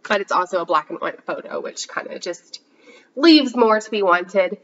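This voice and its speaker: Congested chatty woman, bright voice. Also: medium pitch, expressive, moderate pace, muffled recording. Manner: chatty, rambling, many fillers. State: congested from a cold. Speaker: podcast host. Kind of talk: casual chat showing yarn haul.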